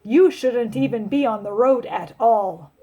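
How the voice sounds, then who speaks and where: A woman voicing a stern, bossy male police officer who yells commands, in a storybook reading. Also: a little high, a little slow, dull audio. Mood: stern, yelling. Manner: yelling, commanding.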